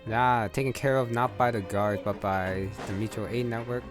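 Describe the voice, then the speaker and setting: A man's voice: mid-range voice, steady tone, normal speed. A man giving casual, offhand gameplay commentary, thinking aloud and trailing off. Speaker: gamer playing and commenting. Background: game music.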